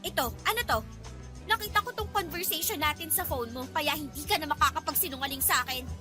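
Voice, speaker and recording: An indignant young woman in a radio drama, high and piercing. She scolds and challenges with open scorn, over a music bed. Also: fast, bright, forceful tone. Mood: angry, demanding. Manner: confrontational, scornful. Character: angry, scolding young woman confronting a suitor. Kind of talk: Philippine radio drama acting.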